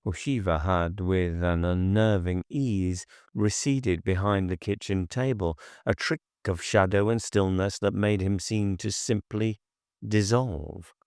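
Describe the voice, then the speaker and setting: A man reading gothic horror fiction as an audiobook narrator, measured and deliberate in his storytelling. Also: low voice, a little mellow, clear, resonant tone, dry recording, clean studio sound.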